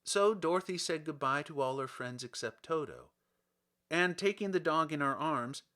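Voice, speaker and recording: A man's voice: fairly high pitch, a bit bright. A man reading a children's storybook aloud as scripted audiobook narration. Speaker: audiobook reader.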